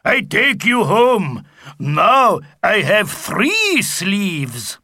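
A man voicing a big, scruffy, proud male Viking warrior who speaks slowly and loudly in broken phrases. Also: high voice, wildly swooping pitch, dry recording, studio quality, pitched above the performer's own voice, exaggerated voice. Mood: boastful. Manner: loud slow broken speech.

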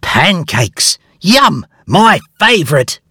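A man's voice: on the high side, sing-song, takes it slowly, bright, forceful tone, breathy edge, no echo, studio quality, pitched above the performer's own voice, full character voice. Man doing an eager, bouncy storybook hero. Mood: excited. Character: excitable cheerful young male character.

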